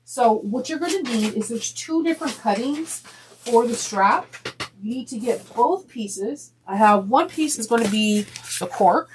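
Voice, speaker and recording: A woman hosting a sewing tutorial, chatting through each step with frequent ums and restarts, her voice slightly deeper and congested from a sinus infection. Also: animated, a little slow, slight background noise, low-quality recording.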